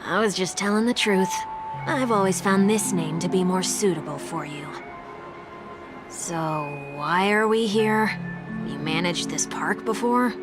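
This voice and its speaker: A woman's voice: medium pitch, unhurried, music in the background, natural voice. An actress playing a confident, teasing woman in a video game, with a cool, playful and assured delivery. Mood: wry, teasing. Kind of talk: scripted video game voice acting.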